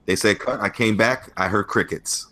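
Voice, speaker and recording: A man with a very low, bright, forceful voice spins his anecdotes in a relaxed interview. He laughs between stories and leans on filler words as he goes. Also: normal speed. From United States. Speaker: stuntman guest. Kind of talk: casual storytelling interview.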